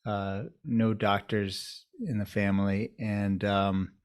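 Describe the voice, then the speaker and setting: Lower-voiced man, thoughtful and measured. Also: level tone, clean tone. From United States, New York area. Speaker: urologist guest. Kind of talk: reflective interview answers.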